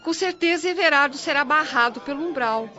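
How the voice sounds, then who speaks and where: A woman's voice: sits high, brisk, sharp tone. An actress playing a breezy, reassuring woman friend in a radio drama. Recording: dull sound with music behind.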